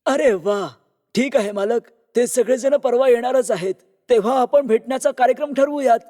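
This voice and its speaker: Deferential old servant-matchmaker, cartoon tale, male actor: high-pitched, soft-edged tone, eager to please, heavy reverb. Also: moderate pace. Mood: eager, respectful. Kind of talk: cartoon moral story dialogue. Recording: long reverb on voice.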